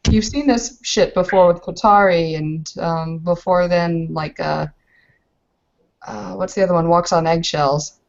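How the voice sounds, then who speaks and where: A woman's voice: fairly low pitch, measured pace, gravelly. A woman chatting casually and narrating as a player in a tabletop roleplaying game. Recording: dull muffled lo-fi audio.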